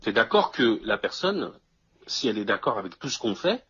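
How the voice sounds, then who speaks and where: A man in casual discussion, explaining step by step and checking that the listener follows, with a husky, rough voice. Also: lowish voice, very animated, normal speed, a bit bright. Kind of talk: casual two-person discussion. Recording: dull lo-fi audio.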